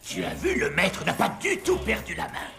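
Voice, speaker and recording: A man playing an obsequious, gushing puppet henchman with a gravelly voice in a cartoon. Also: high voice, huge pitch swings, normal speed, exaggerated voice. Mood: fawning. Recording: roomy echo, music underneath.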